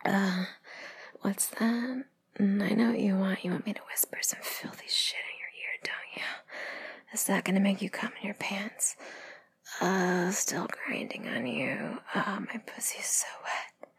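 A woman whispering in a breathy, sultry, teasing voice in an intimate adult roleplay audio, with moans between phrases. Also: mid-range voice, a little slow.

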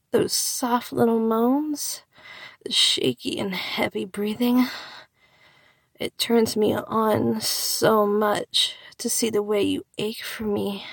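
A woman delivers an intimate, seductive erotic monologue close to the microphone, breathy and husky, moving between soft murmurs and whispers. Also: medium pitch, dry recording. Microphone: close microphone, dry.

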